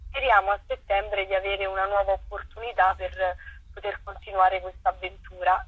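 A woman speaks as a theatre director being interviewed, explaining things earnestly and in detail. Her voice is bright and forward with a husky grain. The sound is dull and lo-fi, like a phone-in. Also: medium pitch, no echo.

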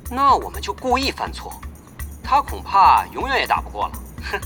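A scheming rival guild spy in a gaming audio drama. His slightly high voice swings widely in pitch, with music underneath. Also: wildly swooping pitch, normal speed, heavily acted.

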